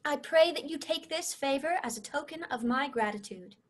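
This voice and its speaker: A woman's voice: sits high, expressive, normal speed. A woman playing a romantic, insistent young princess with lofty storybook diction and an indignant streak. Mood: lofty, hopeful. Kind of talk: comic animated-film character acting.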